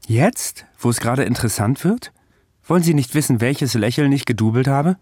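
Ein Schauspieler spielt in einem Hörspiel einen müden, ironischen Patienten, der sich für unsterblich hält, trocken und untertrieben. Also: male voice, mid-range voice, lively intonation, normal speed, a little mellow, slightly rough. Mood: wry. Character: weary sardonic male patient who claims immortality. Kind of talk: radio drama dialogue.

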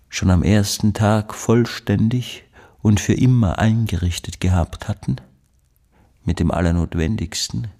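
An actor playing an obsessive, embittered male recluse in a radio drama monologue, brooding and insistent in halting phrases, with a breathy voice. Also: low voice, mellow, exaggerated voice. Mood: bleak, emptied out. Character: obsessive, embittered recluse, a man devoted to his study.

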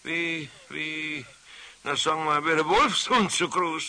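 A man playing a long-suffering, good-natured old craftsman with a Bavarian accent and a husky, groaning delivery in a children's radio play. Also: on the high side, takes it slowly, bright, forceful tone, heavily acted. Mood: wry. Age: older. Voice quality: husky, creaky. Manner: groaning, sighing.

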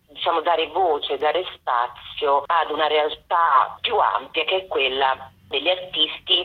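A woman's voice: a bass voice, slightly rough. A middle-aged woman explaining a project as an interview guest, discursive and searching for words. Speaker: interview guest, theatre project co-artistic director. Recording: muffled narrowband telephone line.

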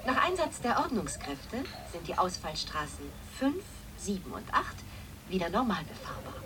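An actress playing a brisk, polite radio reporter in a 1980s radio play, with a hissy broadcast sound. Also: female voice, lowish voice, big pitch swings. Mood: bright, official. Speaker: actor in a radio drama. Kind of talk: radio news presenting, acted.

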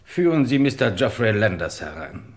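A probing chief inspector in a classic radio mystery. His low voice moves with lively intonation, polite yet clipped and in command. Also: normal speed. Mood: brisk, probing. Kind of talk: vintage German crime radio drama acting.

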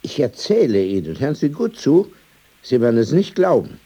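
An actor playing an older, pedantic, courteous gentleman in a German radio play, speaking in short, emphatic phrases. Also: mid-range voice, sing-song, breathy edge. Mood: eager, insistent. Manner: halting short phrases, formal politeness, emphatic. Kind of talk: radio play dialogue and storytelling.

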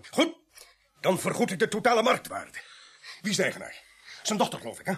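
Radio drama suspect, male: evasive and flustered, stammering, high husky voice. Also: wildly swooping pitch, a little fast, fairly bright tone, heavily acted. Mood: panicked, placating. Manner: flustered, hesitant, stammering and repeating words. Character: evasive, well-off male murder suspect roused at night. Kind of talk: Dutch radio play acting.

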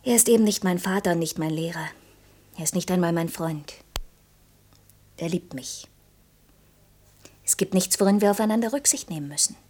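A woman's voice: fairly low pitch, moderate pace, long pauses, lightly acted. A young actress playing a proud, outspoken young working woman in an intimate German radio drama, earnest and reproachful. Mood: tender, hushed. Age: young adult. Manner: earnest, reproachful, shifting between insistent outbursts and hushed confiding.

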